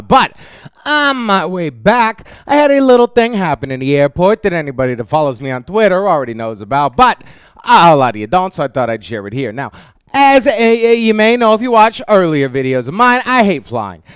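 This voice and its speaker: A man hosting a casual vlog, telling a story in an animated, exclamatory way with stuttered repetitions. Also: fairly high pitch, moderate pace, clear, resonant tone, dry recording, studio quality. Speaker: YouTube show host.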